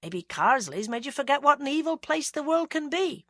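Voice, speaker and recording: Calm, soft male detective with a Gloucestershire accent, voiced by a woman narrator, lively pitch. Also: moderate pace, no room sound, clean studio sound. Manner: soft, calm, gently teasing.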